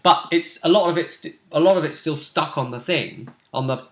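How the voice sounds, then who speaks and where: A man's voice: on the high side, fairly quick, creaky. A man chatting casually and animatedly as a game master, retelling a tabletop session and acting out reactions. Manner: chatty storytelling, acts out players' reactions. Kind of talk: casual animated chat recounting game events. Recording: roomy, echoey home recording.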